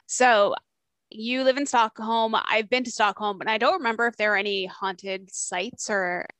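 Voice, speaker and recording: A woman's voice: a little high, fairly even intonation, moderate pace, fairly bright tone, dry recording. A woman hosting a horror podcast, reading the intro and then asking her guest questions in a casual way. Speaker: podcast host.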